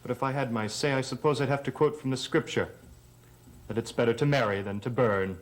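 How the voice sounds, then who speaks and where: An actor playing a bitter, high-strung young stepson in a 1970s TV soap opera, sarcastic and resentful. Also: male voice, brisk, close to an everyday voice.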